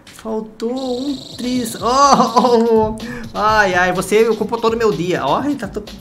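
A man's voice: high-pitched, measured pace, slight background noise. A man in his thirties or forties with a Brazilian accent, chatting casually and teasing playfully during gameplay. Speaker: gaming channel host. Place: room at home, indoors. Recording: loud game music behind.

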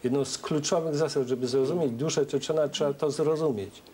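A middle-aged man, a political scientist on a TV studio panel, explaining points in a measured way. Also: a little high, fairly even intonation, warm tone, a little hiss or hum.

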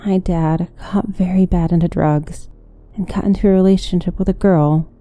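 A woman's voice: lowish voice, fairly even intonation, moderate pace, warm tone, no echo, soft background music. A woman narrating a scripted first-person horror story.

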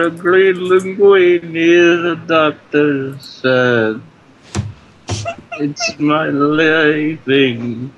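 A man sleep-talking as a washed-up mock-Italian plumber. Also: medium pitch. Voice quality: mumbling sleep-talk, slurred and rambling. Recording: dull lo-fi call recording, faint noise.

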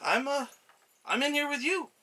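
A man voicing a humble, loyal male farmer turned god, who speaks softly and steadily with a quiet stubbornness. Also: very high-pitched, normal speed, voice pushed up. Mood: gentle.

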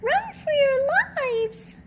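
Squeaky, panicky tiny hen. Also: female voice, sing-song, extremely slow, heavy background noise, heavily acted. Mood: panicked alarm. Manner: alarmist squawking and peeping. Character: tiny dim panicky smallest chicken, female.

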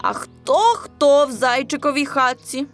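A woman's voice: sits high, lively intonation, a little slow, soft background music, pitched above the performer's own voice. A woman voicing a bold but cowardly male wolf with emphatic delivery in a children's folk tale. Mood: boastful.

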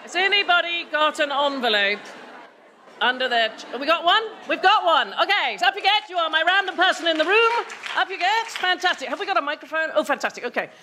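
An older woman hosting an awards lunch from a lectern in a large hall, playful and quick to joke. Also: extremely high pitch, expressive, pushed and bright, a little hiss or hum. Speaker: awards host. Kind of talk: lively event hosting with jokes. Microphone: lectern microphone. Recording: hall with crowd chatter.

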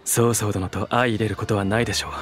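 An actor playing a loyal court adviser in a video game, speaking in a deferential, precise, formal manner. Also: male voice, lowish voice, steady tone, quick pace, faint music behind, lightly acted. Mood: composed, deferential. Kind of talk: Japanese video game cutscene acting.

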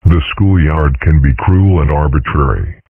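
A man voicing gruff, pompous male animals in a comic strip dub, with stilted, formal delivery. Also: rumbling low, a little slow, full character voice. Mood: solemn. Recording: muffled, narrow phone-like sound.

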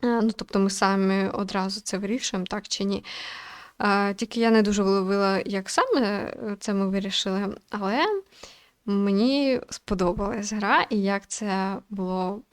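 A woman's voice: mid-range voice, normal speed, clear, resonant tone, no room sound. A young adult woman giving casual let's-play commentary as a gaming host, thinking aloud in an informal, hesitant way.

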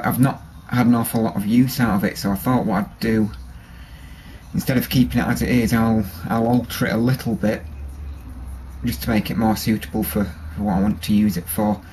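A man with a low, flat voice talks through a knife he's holding, in a relaxed, halting way full of ums and restarts. He's close to the camera in a workshop, with a faint hiss underneath. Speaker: bushcraft hobbyist showing a knife. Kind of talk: casual show-and-tell. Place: workshop with a grinder and workbench.

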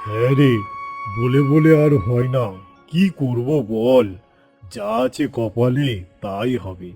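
A slow-talking older village father in a cartoon, acted by a man, with a mellow voice over faint music. He is weary and fretful about his household. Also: mid-range voice, gravelly. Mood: resigned.

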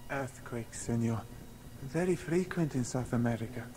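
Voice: medium pitch, normal speed, warm tone, close to an everyday voice. An actor playing a devoted, anxious young South American guide boy with deferential, simple phrasing in a radio drama. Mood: solemn, respectful. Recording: noisy sound effects behind.

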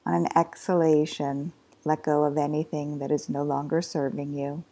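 A woman teaching a guided yoga nidra relaxation, giving slow, soft body instructions with long pauses. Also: low-pitched, level tone. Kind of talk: guided meditation.

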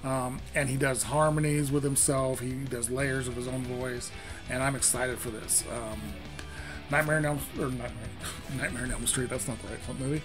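A man's voice: medium pitch, steady tone, normal speed. A middle-aged man hosting a music reaction from home, talking casually and enthusiastically with lots of ums and restarts. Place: home room with Halloween decor and lights.

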